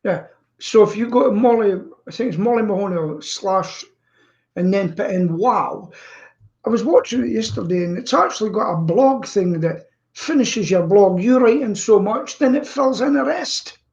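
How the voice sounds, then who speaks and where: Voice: mid-range voice, lively intonation, normal speed, creaky, darkish tone, highs cut off. A co-host chatting casually on an online show, telling things in a rambling, anecdotal way over a streaming call. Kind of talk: casual chat. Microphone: live streaming video call.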